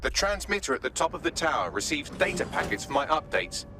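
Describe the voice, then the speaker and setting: A chipper artificial intelligence helper from a video game. He is wry and articulate, with a lively, swooping delivery in a fairly high male voice, over clear background music. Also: a little fast. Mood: wry, helpful. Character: dry, chipper male AI assistant. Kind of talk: scripted game dialogue, guiding instructions.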